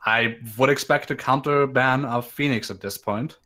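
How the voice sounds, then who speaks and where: A man co-casting a live video game match, giving calm analysis of picks and strategy. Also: medium pitch, moderate pace. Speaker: esports co-caster.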